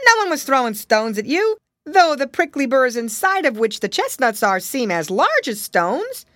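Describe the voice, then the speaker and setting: A playful little know-it-all monkey from a children's storybook, played by a woman. The voice is pitched up high and very animated, explaining things with eager exclamations. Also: moderate pace, dry recording, clean studio sound. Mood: amused. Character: playful, knowing male hand organ monkey.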